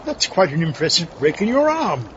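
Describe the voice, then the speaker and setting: A man's voice: fairly high pitch, huge pitch swings, normal speed, music in the background. A man playing a callous, fussy mad scientist in a comedy audio drama, theatrical and hesitant. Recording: dull, noisy sound.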